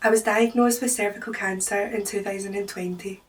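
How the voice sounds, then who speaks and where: A Scottish woman in her early thirties, telling her own health story in an earnest, steady way. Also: medium pitch, brisk, far from the mic, rough recording. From Scotland. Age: 30s. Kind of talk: personal testimony.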